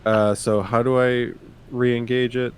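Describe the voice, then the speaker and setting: A man playing a tabletop roleplaying game in casual chat, hesitantly thinking out loud. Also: mid-range voice, level tone, unhurried, smooth.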